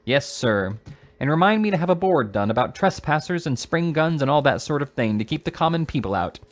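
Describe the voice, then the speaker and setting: A man voicing a fierce, pompous little captain who barks orders in a high-pitched bluster. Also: very animated, a little fast.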